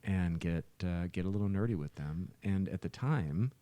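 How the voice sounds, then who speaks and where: A middle-aged man with a very low, soft-edged voice chats as a podcast host. He rambles and doubles back on his words as he explains. The sound is dry. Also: normal speed, no room sound. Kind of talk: casual podcast chat.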